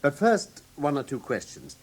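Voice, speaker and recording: An actor playing a brilliant, commanding Victorian gentleman detective with an English accent, precise and theatrical in a classic radio drama. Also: medium pitch, wildly swooping pitch, normal speed, husky. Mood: probing, brisk.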